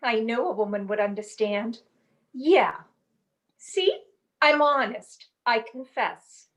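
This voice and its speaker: A woman's voice: on the high side, animated, slow pace. A woman playing a melodramatic, lovesick young chorus girl with slangy, tearful theatrics in an old-time comic radio play. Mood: sheepish, brazen.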